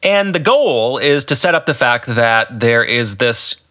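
An American man hosting a solo podcast as an author, explaining his work with emphatic, deliberate phrasing. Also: medium pitch, expressive, bright, forceful tone.

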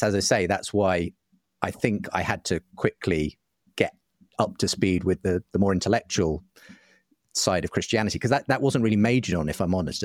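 A man's voice: deep voice, no echo, studio quality. A man speaking reflectively as an interview guest, hesitant and often qualifying himself as he searches for words. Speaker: interview guest, writer and broadcaster. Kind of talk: reflective personal conversation.

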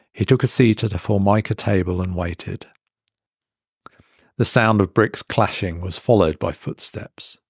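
A man reading a scripted horror story as narrator, with a measured, dramatic delivery in short weighted phrases. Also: on the low side, gravelly, darkish tone, breathy edge, no echo, clean studio sound. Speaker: story reader.

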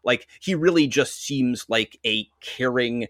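A man's voice: medium pitch, slow, fairly bright tone, no echo, clean studio sound. A man from Western Massachusetts with an American accent, talking sports casually as a podcast guest. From Western Massachusetts, United States. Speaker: podcast guest, soccer commentator.

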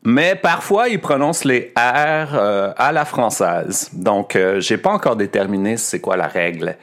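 A Québécois man talks slowly and deliberately as he walks listeners through a language lesson. His voice sits a little low, and he pauses often to pick his words. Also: animated, fairly bright tone. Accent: Québécois French. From Quebec. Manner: slow, careful, with frequent hesitations. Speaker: podcast host and French teacher. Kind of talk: explanatory podcast lesson.

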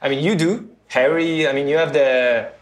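A French man speaking halting English full of fillers, chatting about his cider bar. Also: on the high side. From France. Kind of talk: casual interview chat.

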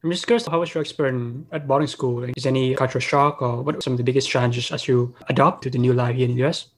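A man's voice: medium pitch, fairly even intonation, no room sound. A man hosting a podcast interview, asking questions with occasional fillers. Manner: quick, reads questions with fillers. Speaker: podcast host.

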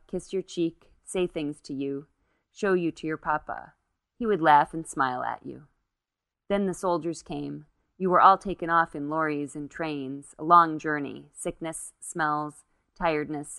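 A woman's voice: low-pitched, normal speed, a bit bright, no echo, studio quality. A woman narrating a literary short story as its reader.

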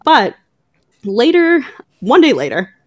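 A woman's voice: high voice, very animated, slow pace, no room sound. Woman podcast host, very dry close sound. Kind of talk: conversational retelling of book news.

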